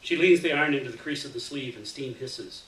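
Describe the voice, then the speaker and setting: Bright-voiced man reading a story, roomy sound. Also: medium pitch, brisk. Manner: dramatic, animated delivery with acted dialogue. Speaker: reader of a short story to an audience. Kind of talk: expressive first-person literary story reading. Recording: roomy, distant mic, faint noise.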